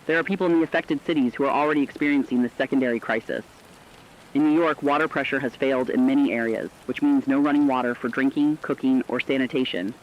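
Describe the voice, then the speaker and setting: A man's voice: mid-range voice, quick pace, highs cut off, close to an everyday voice. A man playing a troubled, earnest co-host in an apocalypse radio drama, speaking solemnly and reflectively. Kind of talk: scripted dramatic radio commentary.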